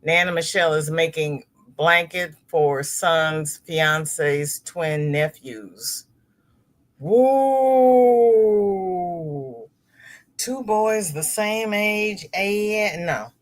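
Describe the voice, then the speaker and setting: A woman's voice: lowish voice, extremely slow. A middle-aged woman hosting a casual crochet livestream, reading viewer comments aloud and greeting people by name.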